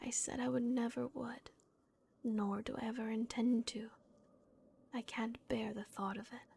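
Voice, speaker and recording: A woman voicing a gentle, remorseful vampire guardian in a breathy, hushed whisper, as an ASMR roleplay. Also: fairly high pitch, little pitch movement, a little hiss or hum. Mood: anxious, hesitant. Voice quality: breathy, whispered with creaky edges. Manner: slow, hushed, hesitant pauses. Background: dark castle ambience.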